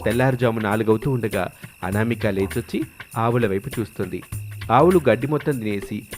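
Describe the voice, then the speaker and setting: A man's voice: fairly low pitch, brisk. A man reading scripted narration for a moral story. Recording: music clearly behind the voice. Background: background music.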